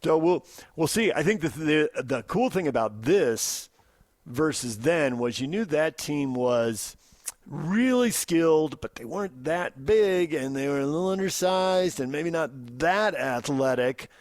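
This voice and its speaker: A man's voice: a little high, expressive, measured pace, no room sound. Male sports radio host, close dry sound. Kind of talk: unscripted sports talk commentary.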